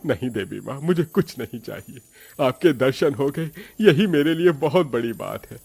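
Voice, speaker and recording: A man playing a humble, devout middle-aged farmer and father in an animated moral story, speaking earnestly with exclamations. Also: medium pitch, moderate pace, creaky, slightly rough, no room sound. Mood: awed, grateful. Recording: faint music behind.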